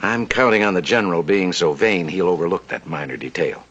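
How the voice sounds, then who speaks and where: An actor playing a confident, persuasive banker who schemes, in an old western TV drama. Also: male voice, low voice, lively intonation, fairly quick, a bit bright, slight background noise. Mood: scheming. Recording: dull old TV soundtrack with music.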